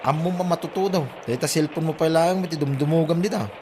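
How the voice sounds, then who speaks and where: A man's voice: fairly high pitch, fast, background noise, lightly acted. A man acting an exasperated husband in an Ilocano comedy drama, nagging and complaining.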